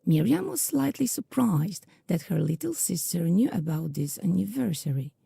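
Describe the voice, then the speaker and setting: A woman narrates a scripted story in a very dark, soft tone. Her voice sits fairly low and moves expressively, with heavy vocal fry. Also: big pitch swings, moderate pace, no room sound. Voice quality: very husky, creaky, soft.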